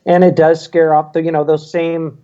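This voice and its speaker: A man's voice: a little high, level tone, moderate pace, dark tone, dull audio. An American man chatting casually in a rambling, conversational way with lots of filler phrases. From United States. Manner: rambling, frequent "like" and "you know". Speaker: vaping talk show panelist. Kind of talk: casual chat.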